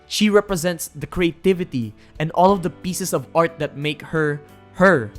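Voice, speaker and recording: A young adult narrating a scripted video essay in a casual, animated way, moving between earnest points and playful asides. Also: medium pitch, moderate pace. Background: background music.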